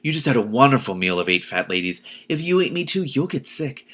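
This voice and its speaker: A man's voice: mid-range voice, very animated, fairly quick, fairly bright tone, pitched above the performer's own voice. A man voicing a clever, desperate captive heroine in a storybook, speaking persuasively and coaxingly. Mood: urgent, persuasive.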